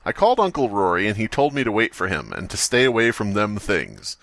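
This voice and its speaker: A man reading aloud as a frightened young man writing a journal, in a plain, steady reading voice. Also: lowish voice, brisk, gravelly, no room sound, full character voice. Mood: fearful.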